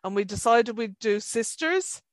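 A woman in her fifties hosting a family podcast, brisk and teasing as she keeps the talk moving. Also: fairly high pitch, animated, dry recording. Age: 50s. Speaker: podcast host. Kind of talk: casual family chat.

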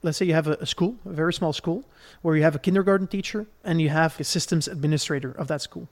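A man's voice: fairly high pitch, fast. A man from Belgium explaining a subject in a conversational podcast style, laying it out step by step and checking in as he goes. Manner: didactic, step-by-step, checks in with "right?". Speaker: podcast host explaining investing.

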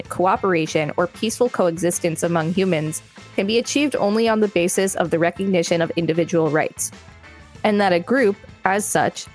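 A woman co-hosts a reading podcast in a somewhat low voice, steady through the long passages. She breaks in now and then with dry, wry remarks. Faint music plays under her. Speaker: podcast co-host. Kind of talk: reading an article aloud with banter.

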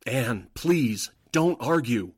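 A man's voice: medium pitch, expressive, slow, husky. An actor playing a duplicitous henchman with a coaxing, placating manner in a 1940s-style radio drama. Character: smooth-talking, duplicitous male henchman posing as friend.

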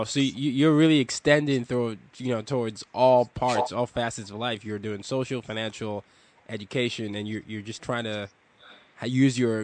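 A man with an American accent, interviewing in a casual, chatty, affirming way. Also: fairly low pitch. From grew up across four continents, Nigerian.

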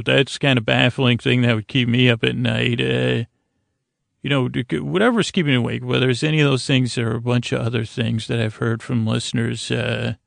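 A man with a creaky voice hosting a bedtime podcast, talking in a soothing, meandering way with halting, repeated phrases that trail off. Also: mid-range voice, no room sound, clean studio sound. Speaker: podcast host.

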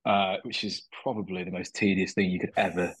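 Guest, man in his 30s from Manchester; low, husky, easygoing, self-mocking. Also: no room sound, rough recording. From Manchester, England. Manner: relaxed, self-deprecating, halting with fillers and restarts. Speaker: guest, documentary maker. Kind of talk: casual interview chat.

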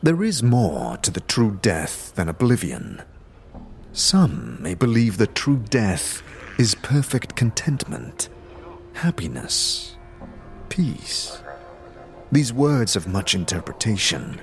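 Male actor as solemn fantasy death-sect elder: low, slow, formal, philosophical. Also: sing-song, creaky, soft background music, full character voice. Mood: solemn, measured. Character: solemn Dustman elder preaching oblivion and detachment from emotion. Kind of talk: fantasy audio drama dialogue.

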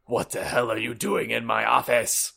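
A man voicing an angry, blustering headmaster in a comic story reading, forceful and indignant. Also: mid-range voice, level tone, moderate pace, creaky, exaggerated voice. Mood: outraged.

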